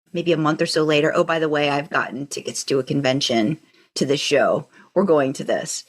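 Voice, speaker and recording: Woman podcaster w a slightly low voice, rambly and into it, just chatting away telling stories. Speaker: podcast co-host. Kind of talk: casual conversational storytelling.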